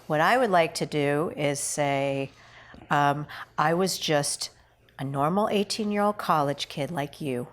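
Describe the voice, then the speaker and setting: A woman's voice: low voice, unhurried. A woman actor at a table read, politely and carefully suggesting changes and reading script lines aloud. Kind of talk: casual rehearsal discussion with script lines read out.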